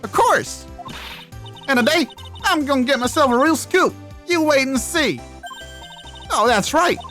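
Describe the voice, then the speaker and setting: A woman voicing a brash, excitable photographer gal with a Southern American accent, folksy, loud and pushy, in a video game. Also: sits high, unhurried, faint music behind, voice pushed up. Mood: excited, hurried.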